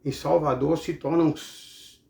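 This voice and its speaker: Gravelly Bahian preacher in an echoey room. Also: male voice, on the high side, fairly even intonation, measured pace, warm tone. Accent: Bahian Brazilian. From Bahia, Brazil. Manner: didactic, punctuated with rhetorical check-ins. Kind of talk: sermon-like Bible teaching.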